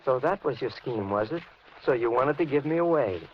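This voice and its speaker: A man's voice: medium pitch, lively intonation, brisk, close to an everyday voice. A man playing a menacing, taunting intruder with an American accent in 1950s radio suspense, speaking with cold control. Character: menacing male intruder holding an old woman captive. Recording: noisy muffled vintage radio recording.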